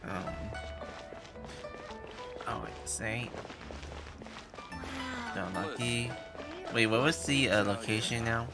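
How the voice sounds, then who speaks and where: A man's voice: medium pitch, slow, long pauses. A man casually livestreaming a game, talking in a hesitant, distracted way. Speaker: game streamer. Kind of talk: casual livestream chat and read-along. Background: game music.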